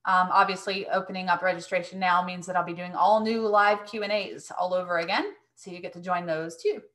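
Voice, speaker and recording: Bright-voiced woman coaching casually. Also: fairly low pitch, steady tone, fairly quick. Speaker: host, dog training business coach. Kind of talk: casual webinar Q&A talk.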